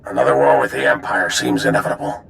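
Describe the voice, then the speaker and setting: A grave male Jedi councillor in a video game. He reports formally in a heavily gravelled voice, with faint noise behind. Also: medium pitch, fast talker, a bit bright.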